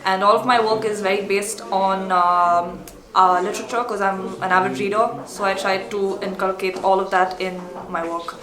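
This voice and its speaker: A woman's voice: medium pitch, fairly even intonation, normal speed. A woman in her twenties from India with an Indian accent, fluently explaining her portrait work. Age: 20s. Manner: fluent and articulate. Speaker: photography student. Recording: echoey room with crowd. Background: crowd chatter.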